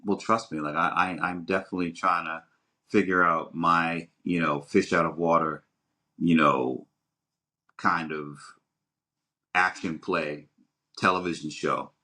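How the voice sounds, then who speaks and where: A man's voice: low voice, fairly even intonation, measured pace, pauses often, sharp tone. A man co-hosting a podcast in casual chat, emphatic and repeating his words in bursts. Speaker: podcast co-host.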